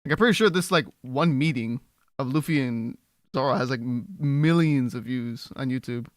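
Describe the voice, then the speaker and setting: A man chatting casually during a watchalong stream, retelling scenes in an animated way. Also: mid-range voice, normal speed, dry recording, studio quality. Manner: animated retelling, laughs often. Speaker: watchalong co-host. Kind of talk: casual reaction chat.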